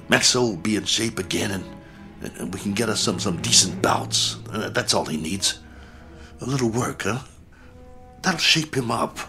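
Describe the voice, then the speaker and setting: A man's voice: on the low side, gravelly, bright, forceful tone, full character voice. An actor playing a battered male fight manager in an old radio drama, stubborn and rambling while in pain.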